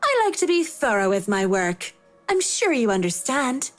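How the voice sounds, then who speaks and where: A brisk, sardonic young woman from a visual novel game. The voice sits high and swings expressively, curt and assured, with light music underneath. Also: very animated.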